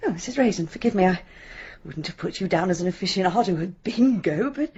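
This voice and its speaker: Casting: encouraging genteel village lady, polite, lively intonation, a little husky, radio drama. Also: on the low side, a little fast, dull audio. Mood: kindly, hesitant. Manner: polite, hesitant, chuckly.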